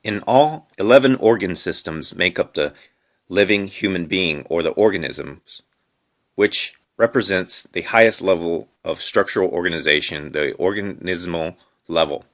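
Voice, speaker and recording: A man reading educational textbook material aloud in an unedited amateur way, phrasing it deliberately. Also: sits low, fairly bright tone. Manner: deliberate phrasing, reads headings aloud, stumbles and corrects himself.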